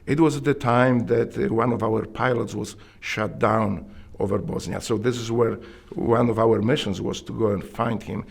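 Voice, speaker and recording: A middle-aged man with a Polish accent, earnestly telling his story in an interview, often halting and restarting his sentences. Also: on the low side, steady tone, gravelly, husky. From Poland. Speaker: interviewee, Navy SEAL veteran.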